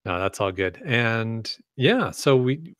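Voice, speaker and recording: A man's voice: mid-range voice, animated, slow pace, fairly bright tone, dry recording, clean studio sound. A man co-hosting a casual hobby podcast, easygoing and agreeable, laughing now and then. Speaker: podcast co-host.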